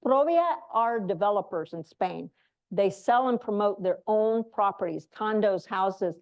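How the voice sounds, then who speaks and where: A woman's voice: mid-range voice, animated, measured pace, highs cut off. A woman speaking informally to an audience as an association representative, running through announcements. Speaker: association speaker on global programs.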